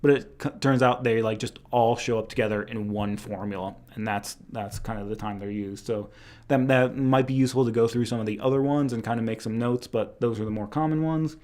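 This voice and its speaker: A man's voice: medium pitch, fairly even intonation, a little fast, darkish tone. A young man teaching Chinese medicine in a casual live-stream review, rambling a bit with restarts, his voice tired after two hours of lecturing.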